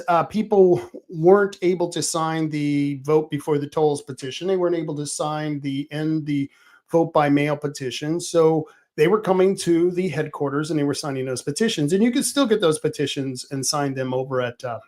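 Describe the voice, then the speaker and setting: A man's voice: fairly high pitch, normal speed, darkish tone. A middle-aged man hosting a political talk show, rambling casually with many restarts.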